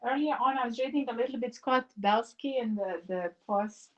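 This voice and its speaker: A woman, a live-streaming artist chatting casually while she paints, musing in wandering sentences with many pauses. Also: fairly high pitch, highs cut off. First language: Serbo-Croatian. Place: home in the mountains.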